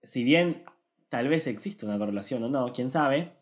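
A man with an Argentine Spanish accent and a middle-pitched voice co-hosts a podcast. He explains things in a relaxed, meandering way. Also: normal speed. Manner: explanatory, rambling with restarts. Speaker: podcast co-host. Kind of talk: casual chat.

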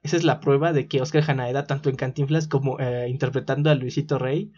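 A man's voice: medium pitch, steady tone, fast talker, dark tone. A man with a Mexican accent, co-hosting a casual chat about a TV series. He laughs often and explains at length with fillers. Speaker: podcast co-host. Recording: dull lo-fi recording.

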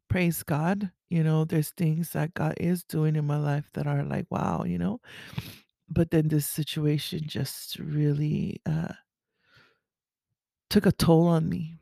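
Female podcast host, raspy and creaky, earnest, halting delivery for a personal faith monologue. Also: sits low, a little mellow, dry recording, clean studio sound. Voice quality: raspy early-morning voice. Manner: halting, earnest, with throat clearing.

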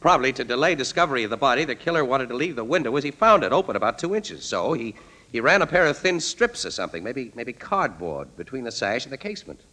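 An actor playing a clever, charming young crime photographer, breezy and confident, in 1940s radio style. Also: male voice, mid-range voice, sing-song, quick pace, bright, forceful tone, rough recording. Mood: keen, explaining.

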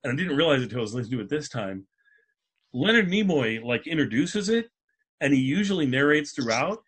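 Husky-voiced man hosting a casual podcast. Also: a little high, very animated, a little fast, fairly bright tone. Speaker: podcast host.

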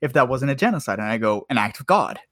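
A man's voice: mid-range voice, expressive, quick pace, a bit bright, no echo, clean studio sound. A man bantering and telling stories casually as a podcast co-host, with a profane, joking and teasing manner.

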